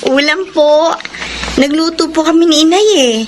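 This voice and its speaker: A woman's voice: high voice, normal speed, natural voice. An actress with a Filipino accent playing a friendly, polite young neighbor woman in a radio drama. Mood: cheerful. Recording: noisy ambient radio drama scene.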